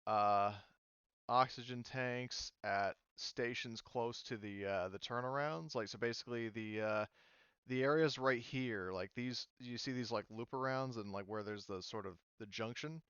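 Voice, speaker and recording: A man's voice: mid-range voice, unhurried, a bit bright, clear, resonant tone, no room sound, dull audio. A man running a tabletop roleplaying game as game master, explaining rulings with many fillers and restarts.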